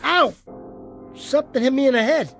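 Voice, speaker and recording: High-voiced, hot-tempered little jockey. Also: male voice, normal speed, music in the background. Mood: groggy, irritable. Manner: streetwise, combative, slangy. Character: small, bitter, hot-tempered male horse jockey. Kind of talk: radio drama acting.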